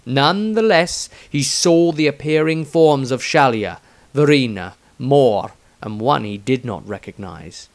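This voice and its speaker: A man reading a fantasy story as a dramatic audiobook, with deliberate, suspenseful phrasing. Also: medium pitch, dry recording. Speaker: author reading own story.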